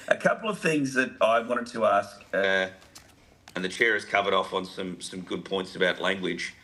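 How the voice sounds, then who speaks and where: A man with a low voice and a bright, forward tone questions witnesses at a committee hearing. He is friendly and light-hearted. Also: moderate pace. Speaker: committee member.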